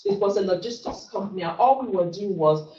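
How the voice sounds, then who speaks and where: A woman's voice: mid-range voice, fairly quick, warm tone. A middle-aged woman with a Nigerian English accent, teaching a classroom lecture in a chatty way that keeps checking in with "okay". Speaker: instructor. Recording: roomy, distant, dull classroom recording.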